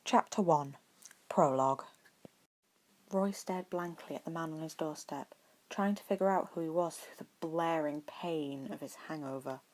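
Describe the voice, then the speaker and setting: Low-pitched woman narrating a story. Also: moderate pace, a little mellow, poor audio. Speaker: amateur audiobook narrator.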